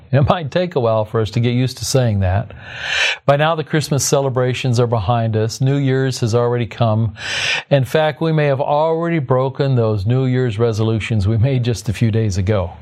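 A man hosting a Bible radio program, opening with a calm reflection and then interviewing a guest in a friendly way. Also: medium pitch. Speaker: radio host.

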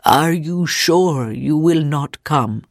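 A solemn, stubborn man of faith from an audiobook, played by a female narrator. He speaks very slowly, low and formal, with grave weight on his words. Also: no echo, studio quality, exaggerated voice. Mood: resigned. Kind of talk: audiobook character acting.

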